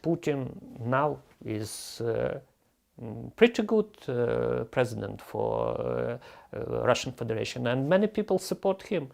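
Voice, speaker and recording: An older man from western Ukraine, a retired air force colonel answering interview questions in halting English with many pauses. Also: medium pitch, very animated, gravelly, a little mellow.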